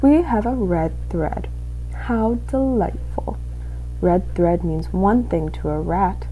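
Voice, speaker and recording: A woman's voice: lowish voice, slow, warm tone, a little hiss or hum, poor audio. A woman voicing a sinister, gleeful male rat in a storybook, savoring each phrase with theatrical self-questioning. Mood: sly delight. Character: devious one-eared male dungeon rat, gleefully sinister.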